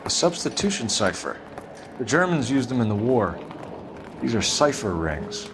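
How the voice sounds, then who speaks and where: An actor playing a calm, methodical detective in a video game cutscene, speaking in a level, steady way. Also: male voice, mid-range voice, moderate pace, noisy background, natural voice.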